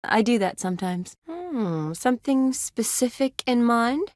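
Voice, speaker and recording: An actress playing a young woman army medic in a video game, caring, playful and briskly practical, with a natural, teasing delivery. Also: on the high side, big pitch swings, a little mellow, dry recording, studio quality. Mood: wry, playful. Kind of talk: video game character dialogue.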